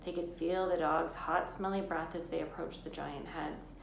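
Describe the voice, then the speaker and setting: A woman reading a children's novel aloud as an expressive storyteller. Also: low-pitched, moderate pace, vocal fry. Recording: roomy echo, faint background noise.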